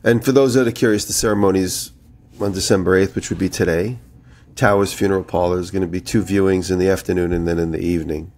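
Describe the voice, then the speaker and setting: A man's voice: low-pitched, fairly even intonation, fast, few pauses, darkish tone. A man co-hosting a podcast in casual chat, telling rambling anecdotes, slightly nasal from a head cold. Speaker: podcast co-host.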